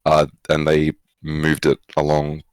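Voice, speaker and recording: A young Australian man with an extremely low voice talks in a casual interview. He is reflective and hesitant, rewording his thoughts as he goes, on a dry, close recording. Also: completely flat delivery, takes it slowly, clear, resonant tone, dry recording. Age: young adult. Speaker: esports coach, former pro player, guest.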